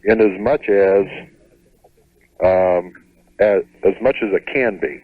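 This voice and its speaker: Guy phoning a radio show, kinda low voice, measured and halting, thin phone line. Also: no echo. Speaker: caller to radio advice show. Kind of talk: hesitant, careful explanation over the phone.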